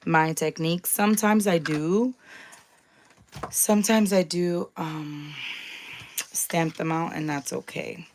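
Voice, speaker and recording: Raspy young woman, low voice, unhurried; chatty craft-tutorial host, faint hiss behind. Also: fairly even intonation, darkish tone. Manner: chatty, with many ums and asides. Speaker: craft demonstrator.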